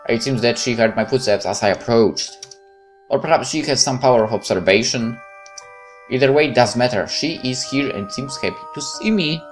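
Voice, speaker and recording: A man hosting a gaming video, reading a visual novel aloud with casual commentary and halting, stumbling delivery. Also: mid-range voice. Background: music.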